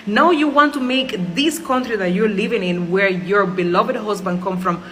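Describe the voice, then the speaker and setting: A woman's voice: mid-range voice, normal speed, a bit bright, slight background noise. A Cuban woman in her forties whose first language is Spanish, speaking English with a Jamaican and Spanish-mixed accent in an earnest, pleading personal monologue. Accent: Cuban Spanish mixed with Jamaican Patois. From Cuba. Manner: earnest, pleading, repeats phrases for emphasis.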